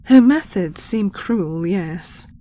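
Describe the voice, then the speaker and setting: A woman voicing a calm, principled, kindly alien co-pilot, speaking formally in a science fiction audio drama. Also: mid-range voice, slow pace. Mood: earnest, idealistic. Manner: composed, formal, articulate.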